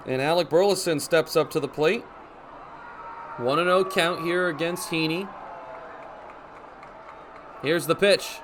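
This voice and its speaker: A young man calling baseball play-by-play for radio in crisp, descriptive phrases, as live sports commentary. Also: on the high side, slight background noise. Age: young adult. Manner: crisp, descriptive phrases with excited calls on big plays. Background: ballpark ambience.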